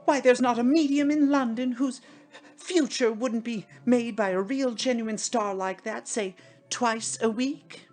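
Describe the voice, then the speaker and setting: A woman's voice: fairly high pitch, a little slow, a little mellow, soft background music, voice pushed up, natural voice. A woman voicing an effusive, wheedling female medium as a storybook character. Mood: indignant. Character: gushing, coaxing female spiritualist medium.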